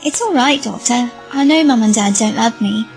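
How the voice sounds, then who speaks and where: A woman's voice: fairly high pitch, moderate pace, vocal fry, faint music behind. A woman playing an earnest, accepting young girl in an audio drama. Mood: earnest, subdued. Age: child character.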